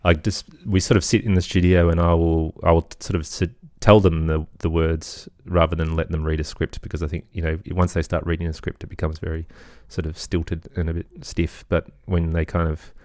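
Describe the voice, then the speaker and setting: Low-voiced man in reflective interview talk. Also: little pitch movement, brisk, few pauses, dry recording, studio quality. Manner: hesitant, thoughtful, hedging with softeners. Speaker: podcast guest, children's audio storyteller.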